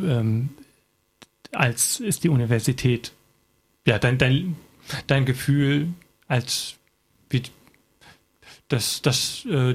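A male interviewer with a rough, creaky and husky voice. He speaks casually and hesitantly, feeling his way toward careful wording. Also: mid-range voice.

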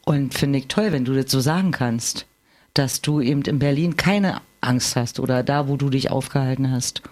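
A man's voice: mid-range voice, normal speed, a little mellow. A middle-aged or older man talking casually and personally, asking questions and sharing experience. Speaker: conversation participant asking questions.

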